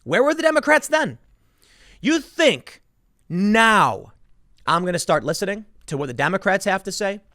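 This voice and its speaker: A man's voice: sits high, big pitch swings, normal speed, pushed and bright, no echo, studio quality. A man giving impassioned solo political commentary as a host, emphatic and indignant, repeating phrases for stress.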